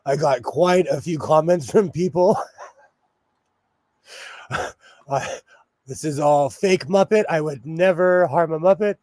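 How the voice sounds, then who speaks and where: Man, higher voice, slightly hoarse, chatty livestream host, dry close sound. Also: slow, long pauses, a little mellow, no echo. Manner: chatty, apologetic, laughs often. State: slightly hoarse, losing his voice. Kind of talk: casual livestream chat.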